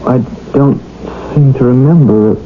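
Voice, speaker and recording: An actor with an American accent playing a respectable doctor who is confused and halting, in an old radio drama. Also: male voice, mid-range voice, natural voice. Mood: dazed. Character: bewildered, respectable American male doctor with memory loss. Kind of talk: 1980 radio drama acting. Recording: narrow, slightly noisy old radio.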